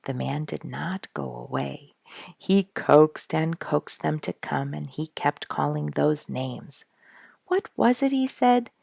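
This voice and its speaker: Low-voiced, animated woman storyteller. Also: slow, creaky, husky, no echo, studio quality. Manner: dramatic, rhetorical questioning delivery. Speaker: storyteller, show host reading aloud. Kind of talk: expressive audiobook narration of a children's animal story.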